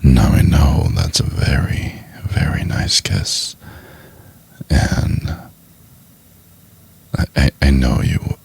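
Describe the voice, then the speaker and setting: A man playing a doting, teasing boyfriend in an intimate ASMR roleplay, murmuring in a husky, halting half-whisper. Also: extremely low pitch, fairly even intonation, darkish tone, heavily acted. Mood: flustered, resisting. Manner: slow, halting, murmured delivery with pauses. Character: doting, teasing boyfriend trying to study for exam.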